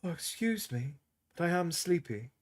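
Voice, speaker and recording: A man voicing a weak, drowsy young princess who speaks softly and quietly. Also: fairly high pitch, expressive, normal speed, voice pushed up. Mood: weary. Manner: soft, quiet and tired.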